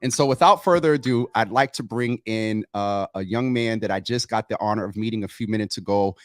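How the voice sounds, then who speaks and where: A man hosting a morning health talk show, introducing a guest with a lively, swooping delivery. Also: on the low side, brisk, no echo, studio quality. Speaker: host.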